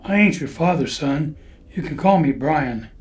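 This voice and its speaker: A man's voice: on the high side, animated, moderate pace, close to an everyday voice. An actor playing a stern, folksy older churchman in a Western radio drama. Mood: guarded, wry. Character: stern balding old churchman, gruff but devoted caretaker.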